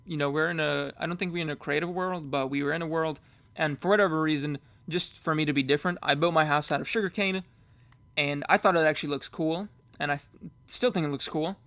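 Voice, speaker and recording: Gravelly young man, rambling game tour. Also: fairly high pitch, fairly bright tone. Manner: rambling, self-correcting, casual. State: getting hoarse. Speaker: gamer giving a world tour. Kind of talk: casual game commentary.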